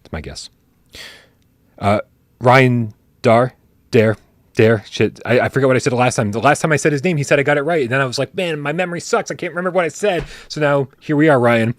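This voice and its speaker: A man hosting a casual gaming livestream, talking in animated bursts, swearing casually and restarting his sentences. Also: medium pitch, fairly quick, dry recording. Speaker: podcast host.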